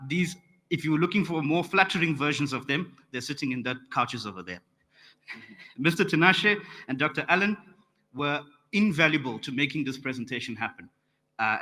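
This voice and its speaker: Bright-voiced South African man in a hall. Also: medium pitch, expressive, moderate pace. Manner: friendly, lightly joking, calls slides. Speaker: conference track rapporteur. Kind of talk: conference presentation. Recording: reverberant conference hall.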